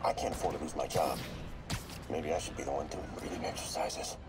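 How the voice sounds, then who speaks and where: A man's voice: extremely low pitch, steady tone, a little fast. An actor playing a nervous male undercover cop who is wry and anxious in a video game cutscene. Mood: worried. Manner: wry, anxious, self-deprecating. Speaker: undercover informant. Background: game score music.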